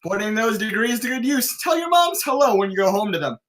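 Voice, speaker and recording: An actor in a script table read playing a brash, showy race announcer who teases the crowd. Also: male voice, high voice, lively intonation, a little fast, fairly bright tone. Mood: showy, teasing. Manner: showy, teasing announcer delivery.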